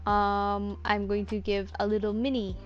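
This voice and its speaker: A woman's voice: mid-range voice, completely flat delivery, a little slow, dull audio, soft background music. A woman talking casually and unscripted through a tutorial, explaining things in a rambling way. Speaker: voice actor giving a tutorial.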